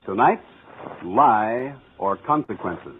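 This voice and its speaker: An actor as a polished, upbeat sponsor announcer in 1940s radio style. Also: male voice, lowish voice, lively intonation, slow pace, noisy background. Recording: dull old radio broadcast, music behind.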